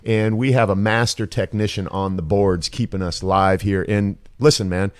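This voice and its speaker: A man's voice: lowish voice, steady tone, normal speed. A man in his fifties co-hosting a podcast, upbeat and chatty, hyping the show and calling people brother. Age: 50s. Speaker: podcast co-host. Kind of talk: casual chat.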